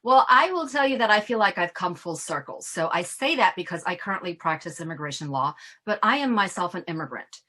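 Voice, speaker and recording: A middle-aged woman from Ghana, an immigration attorney answering questions in an earnest, thoughtful way with frequent pauses. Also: on the low side, lively intonation, sharp tone. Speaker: immigration attorney, guest speaker. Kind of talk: Q&A conversation.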